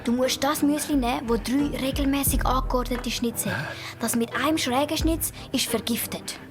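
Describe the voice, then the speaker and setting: A woman's voice: high voice, fairly even intonation, moderate pace, music in the background, lightly acted. An actor, a woman, playing a plucky young girl in a children's radio play, with an urgent, conspiratorial manner. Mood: eager, conspiratorial.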